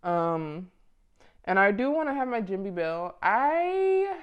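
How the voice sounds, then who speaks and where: A woman's voice: mid-range voice, sing-song, slow, smooth, muffled recording. A woman in her mid twenties chatting casually and motivationally to camera, with a blunt, informal, slangy manner. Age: mid 20s. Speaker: vlogger giving self-improvement advice.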